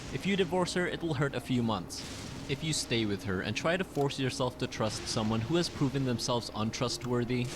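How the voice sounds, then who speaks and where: A man reading written personal posts aloud as a scripted narrator. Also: medium pitch. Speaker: narrator of online relationship stories. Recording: clearly noisy background.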